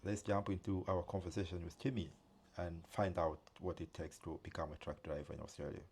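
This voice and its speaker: A man's voice: little pitch movement, fairly quick, husky. A man hosting a channel, talking casually with plenty of fillers and restarts. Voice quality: many fillers and restarts, non-native phrasing. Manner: loud and high-pitched when speaking outdoors. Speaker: channel host. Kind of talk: casual vlog interview.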